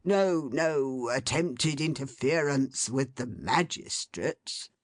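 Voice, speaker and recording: A woman's voice: rumbling low, expressive, slow, dry recording, clean studio sound, full character voice. A woman voicing a pompous, sanctimonious male alderman who moralizes in grand, solemn phrases.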